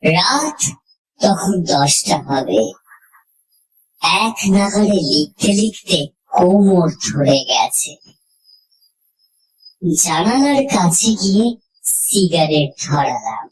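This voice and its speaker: A woman narrating a horror story in the first person, in a dramatic and measured manner. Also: on the low side, very animated, low-quality recording.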